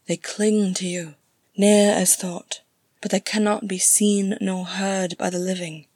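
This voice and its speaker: A gentle, mysterious elf lady of a fantasy tale, as a woman reader acts her out. Her voice is soft and breathy, her phrasing slow and formal. She sounds serene and soothing. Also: medium pitch, level tone, no echo. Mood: gentle, solemn.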